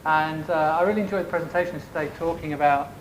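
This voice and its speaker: A man from the United Kingdom moderating a conference panel in a relaxed, informal way with many fillers. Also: fairly high pitch, quick pace. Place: conference stage. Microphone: handheld stage mic. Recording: echoey conference room with background noise.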